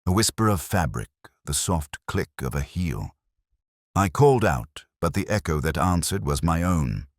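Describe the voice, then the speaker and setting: Very deep-voiced male narrator. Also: big pitch swings, no echo, studio quality. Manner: measured, reflective, literary. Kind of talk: first-person detective story narration.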